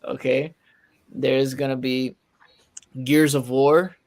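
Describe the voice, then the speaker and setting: Man, average-pitched voice, casual chat, informative, podcast host. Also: flat delivery, slow pace, a little mellow.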